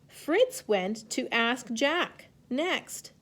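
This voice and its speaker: A woman's voice: a little high, expressive, drawn-out words, fairly bright tone. A woman speaking as an elementary teacher to young children in a read-aloud lesson, instructing in simple phrases and asking questions.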